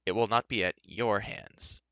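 A man's voice: on the low side, steady tone, unhurried, sharp tone, clear, resonant tone, no echo, highs cut off. A man voicing a proud, hot-tempered young farm boy in a storybook, indignant and defiant in manner.

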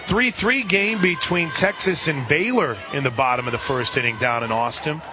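Higher-pitched man calling softball over crowd noise. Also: normal speed. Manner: conversational, bursts into excited calls. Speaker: radio play-by-play commentator. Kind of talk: live softball radio commentary. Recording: crowd and music behind broadcast. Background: stadium crowd, music.

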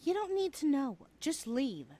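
A woman's voice: high voice, big pitch swings, measured pace, dark tone. A woman playing a defiant, protective younger sister in an ASMR roleplay, argumentative in manner.

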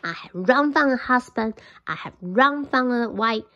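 A woman's voice: on the high side, animated, a little slow, pushed and bright, highs cut off, full character voice. A woman voicing a boastful little gingerbread man in a children's storybook, chanting taunts in a sing-song way. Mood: taunting.

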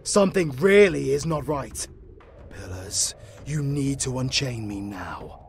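A man plays a wary, grim captive hunter in a fantasy audio drama. He speaks slowly and insistently in a mid-pitched voice with wide, ominous inflection, over faint music. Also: big pitch swings, vocal fry, heavily acted. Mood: alarmed, urgent.

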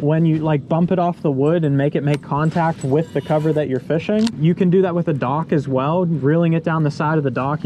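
A man's voice: on the high side, muffled recording, noisy background. A young man hosting a fishing vlog, explaining lure technique step by step in an easygoing way, recorded outdoors on a boat. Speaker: fishing channel host.

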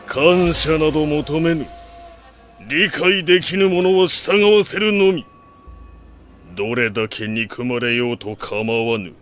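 Proud warlord, imperious, archaic grand diction, slow delivery, audio drama, strong music behind. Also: male voice, fairly high pitch, lively intonation, fairly bright tone. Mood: grand, commanding. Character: proud, iron-willed male warlord bent on remaking the nation. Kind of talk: Japanese audio drama acting.

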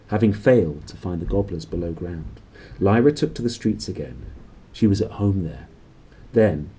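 A man in his thirties reading a storybook aloud with expressive, dramatic phrasing. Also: deep voice, breathy edge, low-quality recording. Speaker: book reader and presenter. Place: small indoor room.

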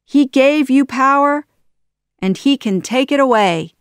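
A woman voicing a brave, quick-witted young male hero in a storybook, bold and urgent. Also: mid-range voice, darkish tone, no room sound, clean studio sound.

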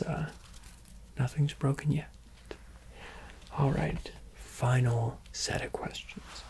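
A young man speaks in a hushed, breathy whisper close to the microphone, with heavy vocal fry roughening his words. He answers viewer questions in a teasing, playful way. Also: mid-range voice. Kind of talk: whispered ASMR Q&A.

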